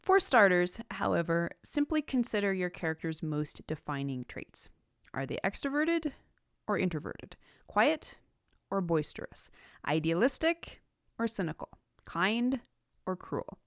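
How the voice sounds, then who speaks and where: A woman hosting an instructional podcast, reading scripted material in a measured, deliberate way with emphatic phrasing. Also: lowish voice, very animated, no room sound, clean studio sound. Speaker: podcast host, writing teacher.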